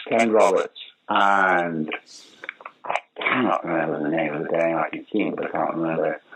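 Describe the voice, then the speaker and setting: An older man with a lowish voice spins theatre anecdotes in an animated, rambling way, his voice leaping up in sudden loud bursts. A faint hiss sits under his voice. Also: poor audio. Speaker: guest actor and comedian. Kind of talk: conversational interview storytelling.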